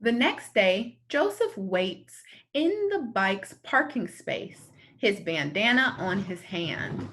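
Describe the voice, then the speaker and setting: A woman reading a children's story aloud as a storyteller, with expressive, deliberate phrasing and dramatic emphasis. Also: mid-range voice.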